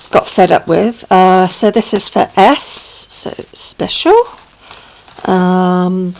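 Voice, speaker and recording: A woman with a slightly croaky voice, chatting casually at home as she shows her craft book, with lots of ums and self-corrections. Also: mid-range voice, highs cut off. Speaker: crafting vlogger. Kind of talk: casual show-and-tell. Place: indoors at home, downstairs near a patio.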